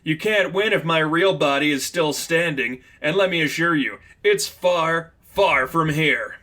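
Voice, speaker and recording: Man playing a cocky young supervillain, raised pitch. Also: a little slow, pushed and bright. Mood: smug taunting. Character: cocky taunting young male costumed villain, turning frantic.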